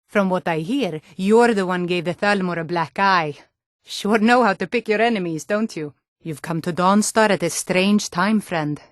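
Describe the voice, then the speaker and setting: A close, dry recording carries a woman acting a hardy northern townswoman from a fantasy game. Her medium-pitched voice is earnest and weighty, with the bearing of a town guard delivering short remarks to a passerby. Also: no room sound, close to an everyday voice. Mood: wry. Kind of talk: video game character voice lines.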